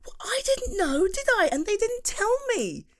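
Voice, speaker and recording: A woman's voice: extremely high pitch, moderate pace, exaggerated voice. A British woman playing a playful, chatty female best friend in a humorous, conversational ASMR roleplay. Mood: exasperated, amused. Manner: animated, conversational, teasing, with laughs and sighs.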